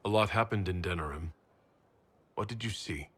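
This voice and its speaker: A man voicing a calm, dry-witted heroic leader in a video game, with a husky voice. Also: low voice, normal speed. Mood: serious, curious. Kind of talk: video game character acting.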